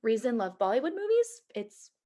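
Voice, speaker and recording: A woman's voice: on the high side, very animated, normal speed, mellow. A woman playing a brash, weary Hollywood executive assistant in a comedic table read over a video call. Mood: wry, familiar. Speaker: actor in a screenplay table read. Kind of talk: comedic script acting.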